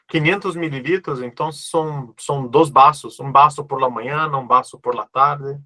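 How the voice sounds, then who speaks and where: Un hombre con acento portugués brasileño que da una clase en línea por videollamada, cordial y tranquilizador, que pregunta a menudo "¿ok?". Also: male voice, mid-range voice, moderate pace, pushed and bright, dry recording. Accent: Brazilian Portuguese. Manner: friendly, reassuring, checks in with "ok?". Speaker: online course instructor. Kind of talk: live online class answering questions. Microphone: video call.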